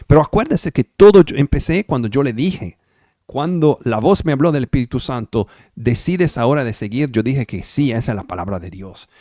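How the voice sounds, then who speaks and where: A man's voice: medium pitch, lively intonation, moderate pace, warm tone, dry recording, studio quality. An Italian-born man preaching in Spanish with an Italian accent, emphatic and repetitive, checking whether his listeners follow. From Italy. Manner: emphatic, repetitive, asks the audience if they follow. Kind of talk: sermon over video call.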